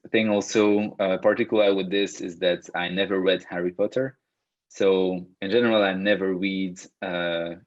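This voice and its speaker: Young Belgian man, French accent, deep voice, hesitant coach on a dull-sounding call. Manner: hesitant, many fillers and restarts. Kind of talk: hosting a video-call book club. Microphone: video call. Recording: dull lo-fi video call audio.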